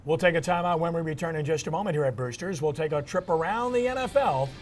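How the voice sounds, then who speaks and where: A man's voice: a little high, fast, faint music behind. A man hosting a sports show, giving commentary and results in clipped, headline-style phrases.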